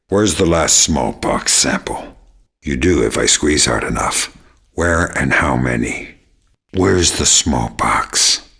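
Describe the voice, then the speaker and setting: A grizzled veteran secret agent from a video game, with an extremely low, raspy voice. He is middle-aged and sardonic, delivering threats and dry wit in the same deadpan way. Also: heavily acted. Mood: menacing. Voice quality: gravelly, husky. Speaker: video game lead character. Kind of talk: dramatic video game acting.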